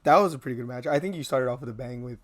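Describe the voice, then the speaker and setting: Easygoing young man, mid-pitched. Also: rapid-fire. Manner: easygoing, asks short questions. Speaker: podcast co-host. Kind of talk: casual chat.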